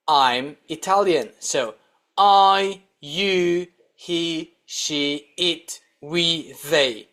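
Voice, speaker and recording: Slow, higher-voiced male English teacher. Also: fairly bright tone. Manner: deliberate, instructional, repeats example sentences. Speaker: English teacher, online lesson host. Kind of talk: scripted grammar lesson, read-and-repeat teaching.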